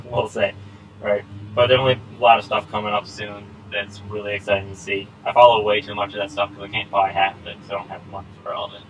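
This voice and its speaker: A man's voice: fairly low pitch, little pitch movement, fast, a bit bright, a little hiss or hum, rough recording. A man in his twenties co-hosting a casual podcast, rambling with plenty of fillers and laughs. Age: 20s. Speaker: podcast co-host. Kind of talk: casual chat. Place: small home setup. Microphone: laptop recording.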